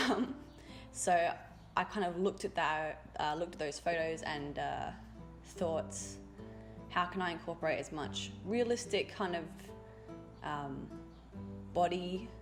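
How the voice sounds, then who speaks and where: Raspy-voiced young woman chatting while drawing. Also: low-pitched. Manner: chatty, rambling, with fillers. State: raspy from a cold. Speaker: art youtuber. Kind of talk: casual voice-over while drawing. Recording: voice-over with loud music behind.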